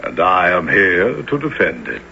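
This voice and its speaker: An actor playing a proud, obstinate knight who speaks in formal, imperious declarations in a theatrical radio drama. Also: male voice, low-pitched, huge pitch swings, unhurried, vocal fry, over loud music, heavily acted. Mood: stubborn, proud. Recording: dull noisy radio broadcast.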